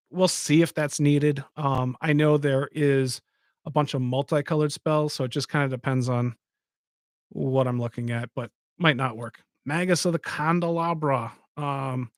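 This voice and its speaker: Guy rambling on a live stream, kinda high voice, dry sound. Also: no room sound. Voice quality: says he has a soft voice. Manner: rambling, talks to chat. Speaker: podcast host. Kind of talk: casual live-stream chat.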